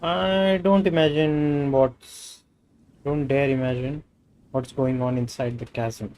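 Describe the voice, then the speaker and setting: A man's voice: mid-range voice, steady tone, slow pace, darkish tone. A man with an Indian accent, a native Hindi speaker, doing live gameplay commentary and reading dialogue aloud. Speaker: game streamer.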